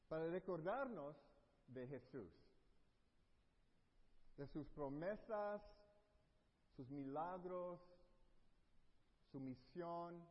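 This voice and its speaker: Man from Texas, middle-aged preacher, unhurried, dull lo-fi recording with a long echo. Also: fairly high pitch. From Texas, USA. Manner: mixes English fillers into Spanish, warm and earnest. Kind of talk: sermon. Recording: dull lo-fi audio with long hall reverb.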